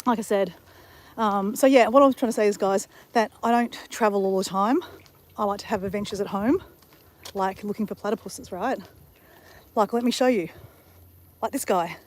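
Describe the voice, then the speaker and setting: A woman's voice: medium pitch, moderate pace, pauses often. An Australian woman chatting casually to her channel while out walking, rambling with fillers and restarts. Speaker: vlogger. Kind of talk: casual walking vlog chat. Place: outdoors on a walk.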